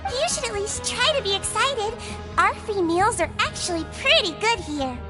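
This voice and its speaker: Sweet, very high-voiced little nurse. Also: female voice, animated, normal speed, over loud music. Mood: gently chatty. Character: petite, sweet, caring female nonhuman head nurse. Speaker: head nurse character. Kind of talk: video game voice acting.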